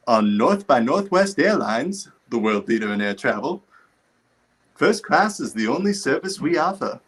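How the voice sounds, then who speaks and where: A young man playing a gushing, polished airline pitchman in a vintage radio commercial. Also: very animated, moderate pace, a bit bright, husky. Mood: gushing, persuasive. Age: young adult. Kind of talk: 1930s-style radio advertisement read.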